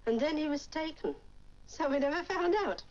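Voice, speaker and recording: An actress playing a chatty, middle-aged English village widow in 1940s British film style, with a gossipy manner. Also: female voice, high voice, animated, normal speed. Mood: chatty, genteel. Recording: muffled, noisy 1940s film soundtrack.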